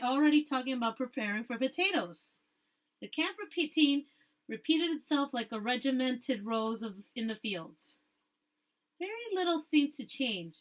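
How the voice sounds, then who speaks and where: Female teacher, higher-pitched, story read-aloud with questions for young listeners. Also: poor audio.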